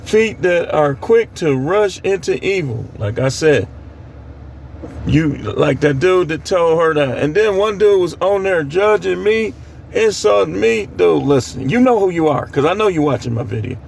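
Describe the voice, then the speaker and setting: A man's voice: on the high side, animated, a little slow, gravelly, a little mellow, a little hiss or hum. An American man preaching informally on scripture in a plain-spoken, admonishing way, repeating phrases for emphasis. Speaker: street preacher.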